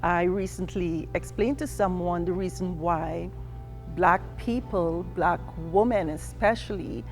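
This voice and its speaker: A middle-aged woman from Trinidad and Tobago tells her own story in an earnest, candid way. Her voice sits fairly low, and she slips into quick imitations of the people she quotes. Faint music plays under her words. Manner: earnest and candid, quoting people in lively asides. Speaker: interviewee. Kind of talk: personal testimony.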